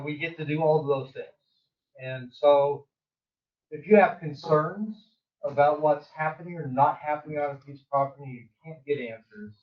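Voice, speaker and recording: Earnest rambling planning director, man. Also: a little high. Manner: earnest, rambling, with many fillers. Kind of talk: public meeting remarks. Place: community meeting room. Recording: dull roomy sound from far off.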